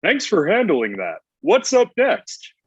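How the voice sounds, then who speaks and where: A man acts out a bro-talking Santa Claus for a comedy script reading. The voice is high and husky, with big swings in pitch and a casual, gruff edge. The sound is a little dull and lo-fi. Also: wildly swooping pitch, takes it slowly, full character voice. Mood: laid-back. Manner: casual slangy delivery. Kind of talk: comedy script table read. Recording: dull lo-fi online call audio.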